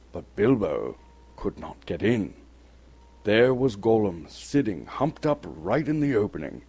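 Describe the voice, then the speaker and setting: A man giving a dramatic, suspenseful reading of a story, theatrical and full of deliberate pauses. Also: on the low side, darkish tone, breathy edge. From Canada. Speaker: storyteller, actor reading an audiobook chapter. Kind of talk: dramatic scripted narration. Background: music under the voice.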